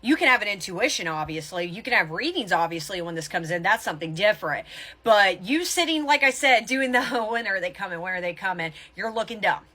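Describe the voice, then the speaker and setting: A woman's voice: medium pitch, expressive, fairly quick, bright, forceful tone. A woman giving motivational spiritual advice as a tarot reader, in a blunt, emphatic tough-love manner with casual swearing.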